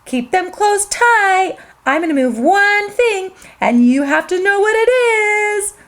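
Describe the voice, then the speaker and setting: A woman voicing a cheerful male cartoon mouse, calling out in a sing-song, excited way in a storybook reading. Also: very high-pitched, takes it slowly, voice pushed up, heavily acted. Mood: playful.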